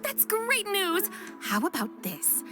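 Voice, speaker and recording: A woman's voice: very high voice, wildly swooping pitch, slow pace, music in the background, full character voice. A woman voicing a shrewd but kindhearted female shopkeeper in a video game, wry and good-natured. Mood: delighted. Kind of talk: game voice acting.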